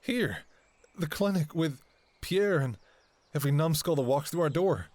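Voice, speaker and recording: A man's voice: a little high, animated, moderate pace, darkish tone, no room sound, lightly acted. A man playing a devoted, anxious doctor talking to a lover in an intimate ASMR audio roleplay, with a hesitant, emotionally raw delivery. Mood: pleading.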